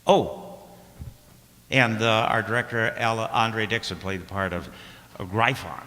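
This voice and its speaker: A man announcing closing credits for a radio play, informal and halting. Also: fairly low pitch, steady tone. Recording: echoey hall, loud noise, audience sounds.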